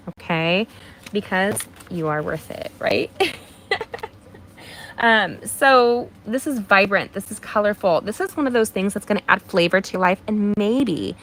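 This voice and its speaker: A woman's voice: mid-range voice, rough recording. Woman doing a live craft stream, bit nasal, chatty and encouraging, faint hiss behind her. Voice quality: a little nasal. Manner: warm encouraging, talks to viewers by name. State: mild allergies. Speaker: craft demonstrator hosting a livestream.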